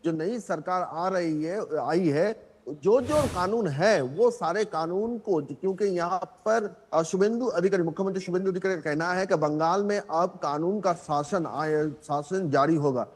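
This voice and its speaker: A male correspondent with a fairly high voice gives a live, unscripted report. He is halting and corrects himself, and a long hollow reverb hangs on his voice. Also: breathy edge. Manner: halting, repeats words and self-corrects. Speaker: news correspondent.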